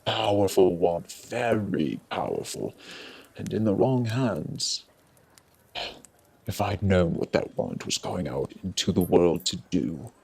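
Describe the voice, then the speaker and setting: A man voicing an eccentric, eerie old wandmaker, soft-spoken and musing, in a theatrical storybook reading. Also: low-pitched, big pitch swings, vocal fry, breathy edge, voice pushed down, full character voice. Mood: musing, ominous. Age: older. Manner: musing, theatrical, drawn-out phrasing with sudden eager bursts. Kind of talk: dramatic audiobook reading with character voices.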